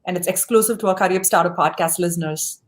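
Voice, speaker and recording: A woman's voice: on the low side, fast. Effusive female podcast host. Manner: warm, effusive praise. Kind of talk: interview hosting.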